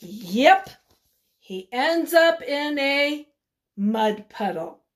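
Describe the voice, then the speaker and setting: A woman with a high voice speaks as an elementary teacher to young children. Her delivery is slow and instructive, with simple questions, pauses and encouraging replies. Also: big pitch swings. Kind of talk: read-aloud lesson for young children, asking questions and answering them.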